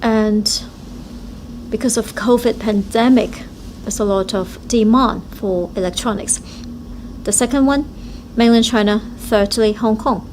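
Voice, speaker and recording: Woman, live interpreter, unhurried pace, halting phrase-by-phrase delivery. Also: on the high side, a little mellow, slight background noise. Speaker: simultaneous interpreter at a forum.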